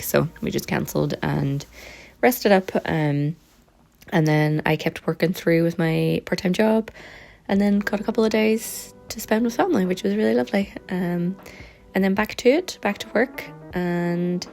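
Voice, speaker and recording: A woman chatting casually in a vlog, her voice slightly hoarse and croaky, rambling in a relaxed way with frequent ums. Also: sits low. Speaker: vlogger and sticker and journal maker.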